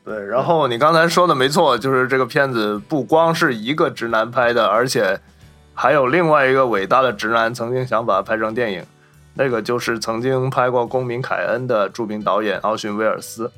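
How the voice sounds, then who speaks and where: Mid-pitched male podcast host over music. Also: a little fast, pushed and bright. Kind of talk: casual film discussion.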